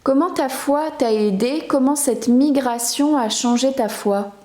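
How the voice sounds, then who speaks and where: A woman asking gentle, inviting interview questions. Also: high voice, a little slow, roomy sound.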